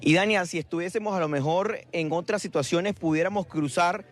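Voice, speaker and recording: A man's voice: high voice, a little fast. A male field reporter, reporting live outdoors at a border bridge and interviewing people.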